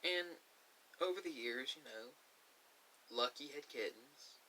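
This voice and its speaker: A middle-aged man telling a personal story in a reflective way, halting often between short phrases. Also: medium pitch, expressive, pushed and bright, poor audio. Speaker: ASMR video creator. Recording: clear background hiss.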